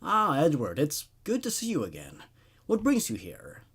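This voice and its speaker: A man plays a probing psychiatrist in a horror storybook. He is calm and clinical, with a husky edge to the voice. His pitch moves widely as he muses with secret obsession. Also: normal speed, heavily acted. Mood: curious.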